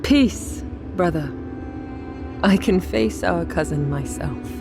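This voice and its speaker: A woman's voice: fairly low pitch, animated, takes it slowly, vocal fry, music in the background, full character voice. A woman playing a composed, motherly middle-aged elf goddess of protection in a fantasy audio drama. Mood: calm, steady.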